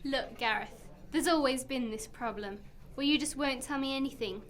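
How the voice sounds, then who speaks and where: Woman acting a high-voiced, suspicious, exasperated wife. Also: moderate pace, lightly acted. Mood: angry, reproachful. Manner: accusing, sarcastic. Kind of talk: amateur student radio play acting.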